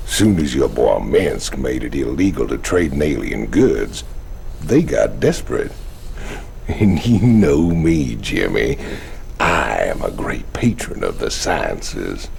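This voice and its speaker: An actor voicing a big, gruff ex-convict outlaw in a video game, with a gravelly folksy drawl and sardonic swagger. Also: male voice, rumbling low, big pitch swings, slow, a little mellow, a little hiss or hum, full character voice. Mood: wry. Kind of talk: video game cutscene acting.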